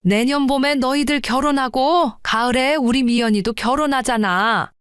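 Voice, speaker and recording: A woman's voice: sits high, normal speed, a bit bright, smooth, dry recording, studio quality. A woman voicing a scheming middle-aged mother-in-law who talks down and makes pushy demands in an audio drama. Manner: presumptuous, talks down in plain speech.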